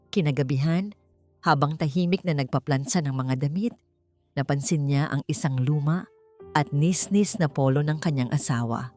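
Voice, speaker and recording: Woman storyteller, kinda low voice, measured dramatic narration, bit of music behind. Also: darkish tone.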